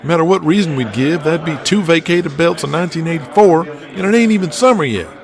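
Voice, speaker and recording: A man's voice: on the high side, expressive, quick pace, vocal fry. A man voicing a crude, fretful wrestling booker in an audio drama, blunt, foul-mouthed and scheming.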